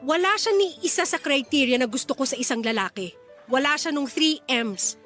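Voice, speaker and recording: Casting: huffy, picky Filipino working single mum for radio drama; high-pitched, swooping delivery. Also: female voice, lively intonation, moderate pace, fairly bright tone, faint music behind. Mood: dismissive. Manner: exasperated, blunt, huffy retorts. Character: irritated, picky young single mother, a restaurant worker.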